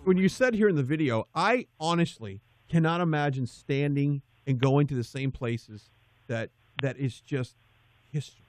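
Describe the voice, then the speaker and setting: A man hosting a radio interview, enthusiastic and quick to affirm his guest. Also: fairly high pitch, no echo. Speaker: radio show host.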